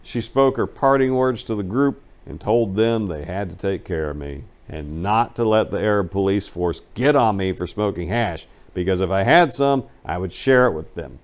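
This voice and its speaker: A man narrating a first-person memoir as a dramatic reading, with emphatic storytelling and some drawn-out phrases. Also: on the low side, animated. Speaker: narrator reading a book aloud.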